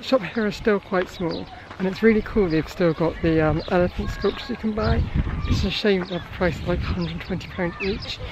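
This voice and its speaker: A man's voice: high-pitched, slight background noise. A man, chatting casually to a handheld camera outdoors as he vlogs. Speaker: vlogger. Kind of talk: casual vlog chat to camera. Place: outdoors at a zoo farm. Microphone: handheld camera at arm's length.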